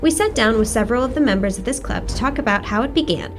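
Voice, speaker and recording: A young woman giving scripted documentary narration in an earnest, expressive way, and asking interview questions. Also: on the high side, fast talker. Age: young adult. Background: background music.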